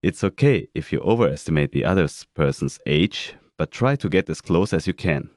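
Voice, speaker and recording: A man reading words for a language lesson, saying each one twice, slowly and deliberately. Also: deep voice, animated, darkish tone, no echo, clean studio sound. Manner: says each word twice, slow and deliberate. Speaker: German pronunciation speaker. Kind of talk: slow pronunciation drills.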